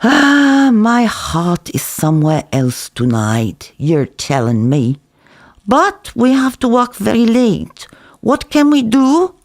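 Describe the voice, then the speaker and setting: A man's voice: very high voice, huge pitch swings, slow pace, dry recording, studio quality, pitched above the performer's own voice, heavily acted. A man voicing a world-weary, hectoring Israeli kebab-shop worker who lectures and cajoles emphatically. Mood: mournful, resigned.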